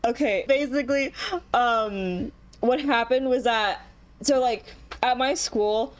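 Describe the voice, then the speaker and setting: A woman's voice: on the high side, slow. A young woman telling a long story in casual chat with friends, rambling with lots of ums and laughing as she goes. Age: young adult. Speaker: podcast co-host.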